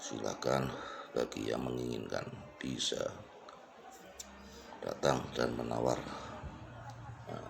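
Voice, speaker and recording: Very deep, gravelly man. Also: slow pace, pauses often, noisy background. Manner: unscripted, repetitive, pointing things out. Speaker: vlogger giving an exhibition tour. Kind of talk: casual walk-through commentary. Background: crowd at an exhibition.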